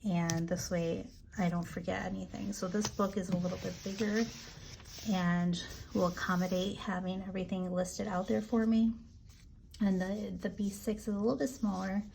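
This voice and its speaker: A woman with a raspy, allergy-hoarse voice chatting casually as she decorates her planner, relaxed and full of ums. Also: medium pitch, a little hiss or hum, low-quality recording. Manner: slow, relaxed, chatty with frequent ums and asides. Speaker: planner vlogger. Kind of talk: casual plan-with-me narration.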